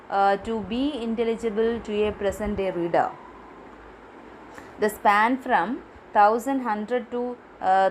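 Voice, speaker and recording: Halting woman teaching literature, faint hiss. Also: a little high, rough recording. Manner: halting, many fillers and restarts. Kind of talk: literature lecture read from notes.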